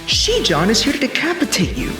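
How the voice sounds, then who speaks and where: A time-traveling bodyguard in a sci-fi action film. His voice is high and very animated, terse and commanding with a cocky edge. It rings in a roomy space with music clearly behind it. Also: a little fast, heavily acted. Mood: urgent, commanding. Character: urgent male time-traveling protector from the future, commanding and cocky.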